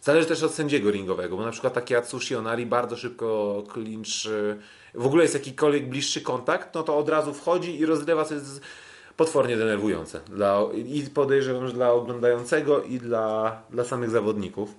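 A man hosting a podcast, giving a casual sports recap in a halting way with frequent filled pauses. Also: mid-range voice. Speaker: podcast host.

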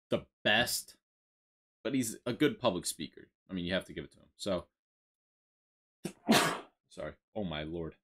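A young man with a deep, lowish voice talks to his viewers from a small room. He rambles and hesitates, and his sentences keep trailing off into fillers. A desk mic sits close to him. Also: fairly bright tone. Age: young adult. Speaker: vlogger. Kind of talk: casual commentary.

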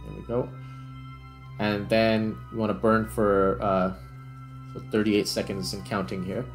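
A man's voice: fairly low pitch, flat delivery, a little slow, faint music behind. A man giving casual gameplay commentary as a video host, thinking aloud as he explains things.

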